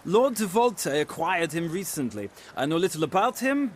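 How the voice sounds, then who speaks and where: Casting: refined aristocratic horse connoisseur in a fantasy game, florid and eager, animated higher-set voice. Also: male voice, moderate pace, slight background noise. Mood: courtly, appreciative. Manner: formal, florid, courtly phrasing. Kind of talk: video game character acting.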